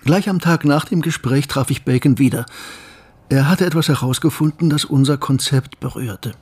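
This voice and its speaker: Actor as a husky, reserved male professor. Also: mid-range voice, normal speed, darkish tone, close to an everyday voice. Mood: measured. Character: shrewd, reserved German male professor, also the narrator. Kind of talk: radio drama dialogue and narration.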